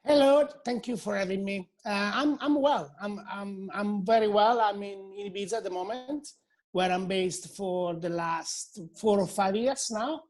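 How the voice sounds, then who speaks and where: A man's voice: high voice, measured pace, a little mellow. Hesitant Italian-accented man. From Italy. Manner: hesitant, many fillers and restarts. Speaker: guest hairdresser. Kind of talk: casual interview chat.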